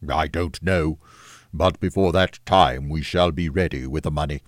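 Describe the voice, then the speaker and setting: A man voicing a poor husband who speaks calmly and reassuringly. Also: a bass voice, normal speed, no room sound, studio quality, pitched below the performer's own voice. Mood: relieved. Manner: calm, reassuring. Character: relieved poor husband, calm and low.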